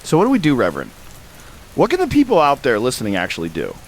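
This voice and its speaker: A man's voice: medium pitch, huge pitch swings, quick pace, slight background noise, rough recording. A man playing a weary, earnest survivor hosting a somber radio interview in an apocalypse drama. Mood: concerned questioning. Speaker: interviewer, broadcaster. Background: rain and thunder ambience.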